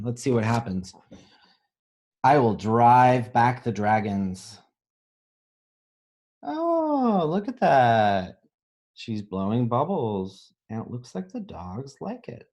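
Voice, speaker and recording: A man reading a children's picture book aloud as a teacher, pausing to think aloud and explain things simply. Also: mid-range voice, creaky, a little mellow. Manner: pauses to pose questions and think aloud.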